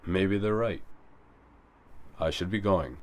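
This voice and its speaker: A man playing a brooding, guarded vigilante in an audio drama, terse and controlled with a gravelly voice. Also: slow. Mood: measured, dry. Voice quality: low, gravelly.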